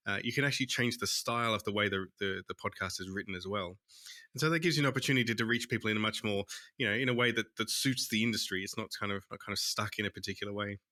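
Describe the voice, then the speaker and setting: An Australian man speaks as an interview guest in a bright, forward voice that sits a little low. The sound is dry and close, with no room around it. He talks in a hesitant way, with frequent restarts and fillers. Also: no room sound. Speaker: guest, tech founder. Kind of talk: conversational interview.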